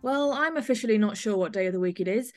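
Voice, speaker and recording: A woman's voice: medium pitch, animated, fast talker, soft background music. A woman hosting a podcast in a conversational way, discussing a play with a guest. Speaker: podcast host.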